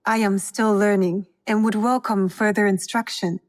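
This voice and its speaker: A woman's voice: medium pitch, fairly even intonation, normal speed, no room sound, studio quality, close to an everyday voice. An actress playing an earnest, reserved young woman in a video game, polite yet plain-spoken. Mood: humble, attentive. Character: earnest, reserved, blunt young female student of tea. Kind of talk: video game cutscene acting.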